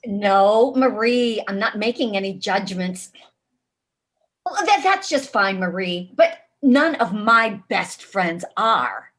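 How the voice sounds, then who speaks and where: A woman in a staged reading, playing a prim, politely disapproving suburban housewife with deliberate phrasing. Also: mid-range voice, expressive, a bit bright. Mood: prim, defensive. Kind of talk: staged reading of a satirical play. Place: video call.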